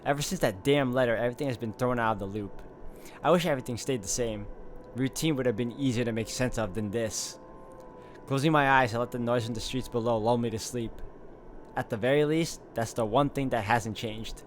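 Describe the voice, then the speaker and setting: A man's voice: mid-range voice, fairly quick, slight background noise. A man hosting a let's-play, reading visual novel narration aloud and adding casual commentary. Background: loud music.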